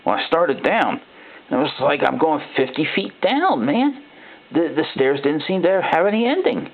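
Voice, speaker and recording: A man voicing a frightened ordinary guy telling his own horror story, halting and rattled, with a gravelly voice. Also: fairly high pitch, big pitch swings, voice pushed up, exaggerated voice. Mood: incredulous. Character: frightened ordinary man recounting robot replacements. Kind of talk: first-person dramatic horror narration. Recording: thin, phone-like narrow-band sound.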